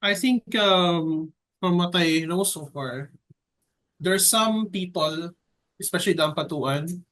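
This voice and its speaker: A young man from the Philippines with a Filipino accent, talking casually in an interview in a hesitant, measured way with frequent pauses. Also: high-pitched, fairly bright tone, poor audio. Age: young adult. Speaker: editorial cartoonist being interviewed.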